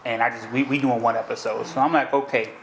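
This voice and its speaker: A man with a New York accent hosts a relaxed interview, rambling with fillers and restarts. His voice sits in a middle register. The room rings a little, with a faint hiss under it. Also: mid-range voice, quick pace, roomy sound, rough recording. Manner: loose, chatty, many fillers and restarts. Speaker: host. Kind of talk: casual interview.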